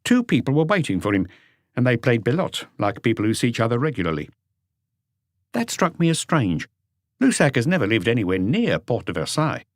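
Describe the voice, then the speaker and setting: A man's voice: medium pitch, big pitch swings, a little fast, no room sound, clean studio sound, lightly acted. A man voicing an eager, dutiful police inspector who reports to his chief in earnest detail, as an audiobook character.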